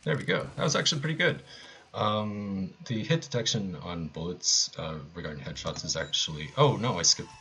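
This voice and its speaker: A man's voice: deep voice, expressive, brisk, sharp tone, a little hiss or hum. A man with an American accent giving casual, laid-back live commentary as he plays a speedrun.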